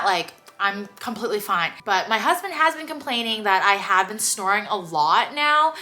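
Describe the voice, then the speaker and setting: Chatty bright-voiced young woman, slightly stuffy. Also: on the high side. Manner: chatty, many "like" fillers, quick laughs. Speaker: vlogger. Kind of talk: casual chat to camera.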